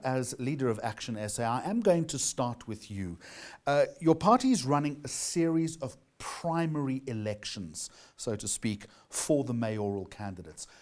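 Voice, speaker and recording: A South African man moderates a debate with a brisk, welcoming manner. His pitch is mid-range, and his intonation rises and falls a lot as he introduces the speakers and puts questions to them. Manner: brisk, warm, animated intonation. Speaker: debate host.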